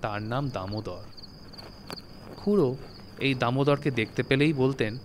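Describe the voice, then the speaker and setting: A man reading a literary story as narrator, in a measured, phrase-by-phrase storytelling manner. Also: mid-range voice, a little hiss or hum. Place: recording studio. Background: music.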